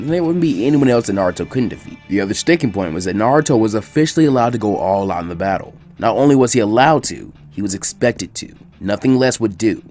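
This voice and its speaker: Lively, quick-paced man narrating a story. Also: on the low side. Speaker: fan fiction reader. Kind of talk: animated narrated story reading.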